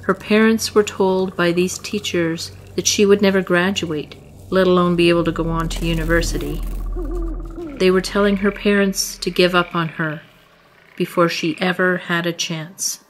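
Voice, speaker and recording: A woman narrating a scripted story for a short film in a measured, solemn way. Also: fairly low pitch, fairly even intonation, slight background noise, poor audio.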